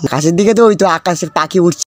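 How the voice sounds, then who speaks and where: Fast-talking young man, teasing. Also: high-pitched, no room sound. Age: young adult.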